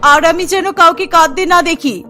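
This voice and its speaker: A woman's voice: very high voice, steady tone, brisk, pushed and bright, soft background music. A woman voicing a caring, firm female village schoolteacher in a children's cartoon, protective of her pupils. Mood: soothing but firm. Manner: protective, scolding the children like a teacher.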